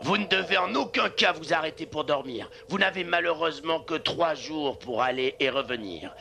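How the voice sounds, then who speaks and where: A man's voice: on the high side, expressive, moderate pace, vocal fry, pushed and bright, heavily acted. A man playing a grave village leader in a French-dubbed cartoon, solemn in his delivery. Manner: solemn, instructing. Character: grave, trusting village man sending off sled dogs. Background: music.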